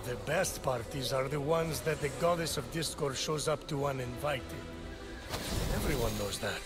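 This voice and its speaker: An actor playing a dry, knowing titan who tells myths in a comedic video game. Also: male voice, mid-range voice, moderate pace, over loud music, close to an everyday voice. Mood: wry. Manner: wry, storytelling.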